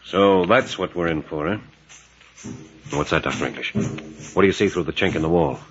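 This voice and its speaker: An actor playing a level-headed, resolute adventure captain, full of probing questions, in 1940s radio drama style. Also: male voice, deep voice, moderate pace, slight background noise, close to an everyday voice. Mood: questioning. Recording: muffled vintage radio recording.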